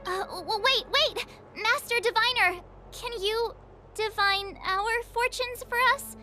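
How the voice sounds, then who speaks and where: A woman's voice: extremely high pitch, slow pace, pushed and bright, faint music behind, exaggerated voice. A woman voicing a small, childlike, eager sidekick creature in a video game, chirpy and questioning. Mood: urgent, pleading. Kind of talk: scripted video game dialogue.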